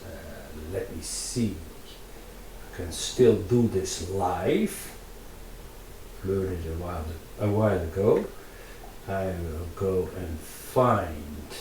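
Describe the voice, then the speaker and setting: A man from the Netherlands with a Dutch accent, native Dutch speaker, talking casually in English while drawing in VR, halting with many fillers and restarts. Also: low voice, darkish tone, roomy sound, far from the mic, a little hiss or hum, low-quality recording. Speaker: VR artist.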